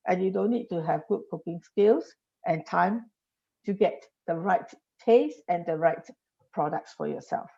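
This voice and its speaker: Gravelly low woman explaining. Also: measured pace. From Singapore. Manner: explanatory, stacks examples, frequent restarts. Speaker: industry guest. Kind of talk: podcast interview. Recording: dull lo-fi podcast audio.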